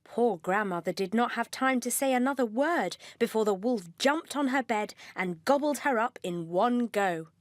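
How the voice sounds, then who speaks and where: Higher-voiced woman narrating a children's story. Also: lively intonation, normal speed, few pauses. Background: music.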